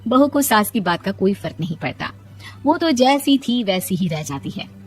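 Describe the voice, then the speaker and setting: A woman's voice: mid-range voice, very animated, normal speed, music in the background. Woman narrating a cartoon story.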